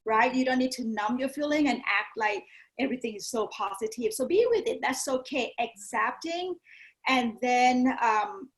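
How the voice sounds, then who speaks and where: A woman's voice: fairly high pitch, normal speed, rough recording. A woman from Thailand with a Thai accent, a yoga teacher talking earnestly as a guest, often adding "you know" and "right?". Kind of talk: casual interview chat.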